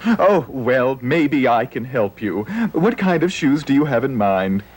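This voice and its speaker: A man's voice: mid-range voice, expressive, unhurried, a little hiss or hum, natural voice. A man playing a fussy, flustered and suspicious shoe salesman with a hesitant, stammering manner in a children's television sketch. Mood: helpful, puzzled. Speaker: shop clerk character.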